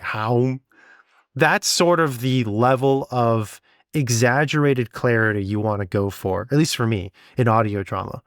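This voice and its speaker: A man's voice: medium pitch, dry recording, studio quality. A man hosting a podcast, explaining and giving advice in an informal, conversational way. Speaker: podcast host and audio drama sound designer. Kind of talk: casual podcast talk, explaining and advising.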